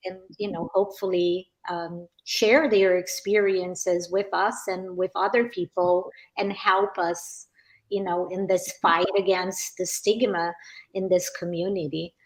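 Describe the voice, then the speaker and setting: Slow-paced Brazilian woman on a panel. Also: lowish voice, flat delivery, poor audio. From Rio de Janeiro, Brazil. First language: Portuguese. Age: middle-aged. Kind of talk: informal online panel talk.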